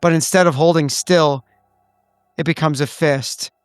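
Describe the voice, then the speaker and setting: A man narrating a tabletop horror game in a deliberate, dramatic, descriptive way. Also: fairly high pitch, fairly even intonation, clear, resonant tone, no room sound, studio quality, soft background music. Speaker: tabletop roleplaying game cast member.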